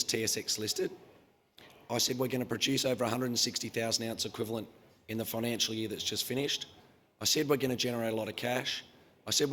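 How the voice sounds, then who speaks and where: A man's voice: mid-range voice, a bit bright. Brash man presenting, voice going. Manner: brash, confident, quick-fire delivery. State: losing his voice. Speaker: mining company CEO presenting. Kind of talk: conference presentation. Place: conference hall.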